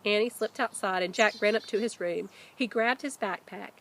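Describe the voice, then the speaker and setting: A woman, a teacher reading a children's book aloud expressively, with deliberate emphasis. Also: mid-range voice, fairly bright tone, slight background noise. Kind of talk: children's storybook read-aloud.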